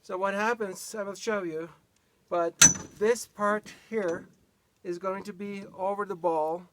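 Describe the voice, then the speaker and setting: A Norwegian man with a Norwegian accent, showing a hitch step by step in a halting way, full of fillers, recorded outdoors on a camera mic. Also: high voice, fairly even intonation, heavy background noise. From Norway. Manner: halting, searching for words, many fillers. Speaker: DIY vlogger. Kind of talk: hands-on how-to demonstration. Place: outdoors by a pickup truck bed.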